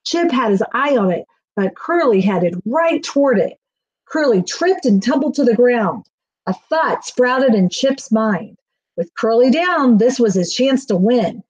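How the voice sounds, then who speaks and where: A middle-aged woman reading a children's picture book aloud in an expressive storytelling manner. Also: a little high, a little slow, poor audio. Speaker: school staff reading aloud. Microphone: webcam on a video call, near.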